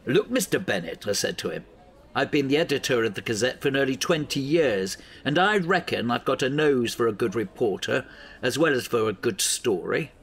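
An actor playing a middle-aged, supportive local newspaper editor in an audio drama. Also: male voice, medium pitch, animated, moderate pace, creaky. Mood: encouraging, chatty.

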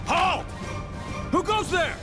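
High-strained barking guard. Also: male voice, expressive, slow, music in the background, heavily acted. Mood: alarmed, commanding. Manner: barking commands. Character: tense, shouting male armed guard. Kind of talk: video game voice acting.

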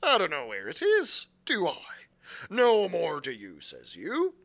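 A man voicing a cunning old male pirate quartermaster in a loud, rolling pirate drawl. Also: sits high, huge pitch swings, slow pace, gravelly, exaggerated voice. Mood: scheming.

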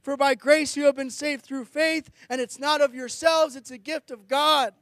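A man's voice: very high-pitched, steady tone, normal speed, fairly bright tone, dry recording, clean studio sound. A middle-aged man preaching a sermon as a pastor, earnest and declamatory, reading out scripture. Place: outdoors on a high ledge in a blizzard. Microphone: handheld or stand microphone close to the mouth.

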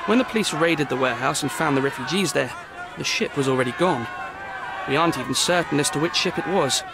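An actor playing a harried, defensive city hall official in a video game, speaking formally and precisely. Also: male voice, on the high side, fast talker, a crowd in the background, background noise, close to an everyday voice. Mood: resigned, explanatory. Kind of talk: video game cutscene acting.

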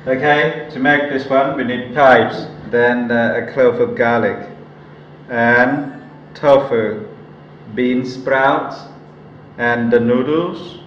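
Slow Thai-accented man teaching cooking. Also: medium pitch, dull audio. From Thailand. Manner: relaxed, unhurried, simple broken phrasing. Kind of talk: step-by-step cooking demonstration. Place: indoor cooking school kitchen. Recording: echoey kitchen, loud frying noise.

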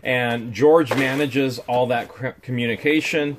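Excitable man unboxing storage finds. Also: medium pitch, unhurried, background noise. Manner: excitable, exclaims over each find. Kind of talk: casual unboxing chat.

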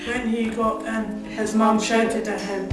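A young Scottish-accented woman narrates in rhyme. Her voice is fairly high, in an echoey room with music clearly behind it. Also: little pitch movement, slow. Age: young adult. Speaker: poem reader. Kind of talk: rhyming scripted narration.

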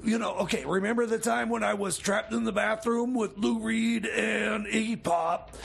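A very high, gravelly male voice put on by a man for comedy radio. He is an excitable stoner sidekick who stammers, loops back on himself and rambles on. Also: moderate pace, heavily acted. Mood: rambling, boastful. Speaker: co-host character on a comedy radio show. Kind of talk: improvised comedy radio theater.

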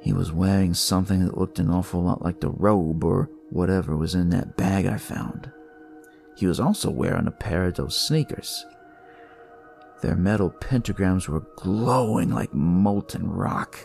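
A man narrating dramatic noir horror in the first person, with a deliberate, brooding delivery. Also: low voice, creaky, a little mellow. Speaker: storyteller reading in first person as a detective. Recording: music behind the voice.

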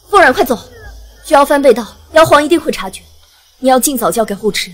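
A woman playing a bold, generous fox-clan demon lord in an audio drama, confident and brisk. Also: sits high, animated. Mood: steady. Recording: soft music behind.

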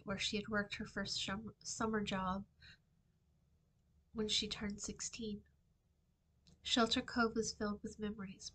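A middle-aged woman, an author reading her own fiction aloud in a measured, dramatic storytime delivery. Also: mid-range voice, slight background noise, rough recording. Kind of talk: expressive storytime book reading. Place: small indoor room with shelving.

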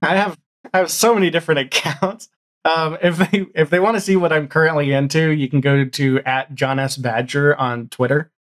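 A man chatting casually as a podcast guest, with a halting delivery that often repeats words as he explains. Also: on the high side, steady tone, a bit bright. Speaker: podcast guest, audio drama showrunner. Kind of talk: casual chat. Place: home room, video call.

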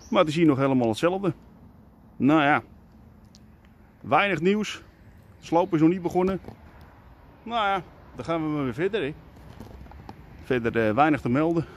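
A man in his forties vlogging casually from a camera held at arm's length, musing aloud with lots of fillers as he rides around and heads home. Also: medium pitch. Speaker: urbex vlogger. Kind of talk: casual vlog commentary. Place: outdoors on a scooter, later indoors in a stairwell.

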